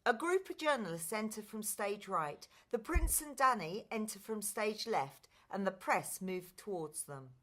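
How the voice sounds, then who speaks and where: A woman's voice: medium pitch, expressive, a little slow, hardly any pauses, a bit bright. A woman giving scripted narration of stage directions for a play.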